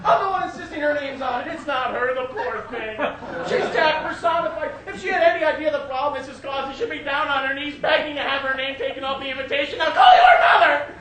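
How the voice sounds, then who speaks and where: Woman performing a demanding bride-to-be, exasperated, suspicious, animated intonation, stage monologue, far from mic. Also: high-pitched, fast, crowd noise. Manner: demanding, forceful. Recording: echoey stage recording, distant mic, noisy.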